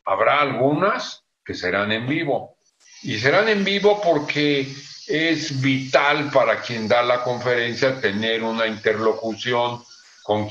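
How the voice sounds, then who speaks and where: An older man with a Mexican Spanish accent, a convention manager explaining plans in a measured way on a video call from his home office. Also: fairly low pitch, fairly bright tone, a little hiss or hum. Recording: echoey room, dull lo-fi sound.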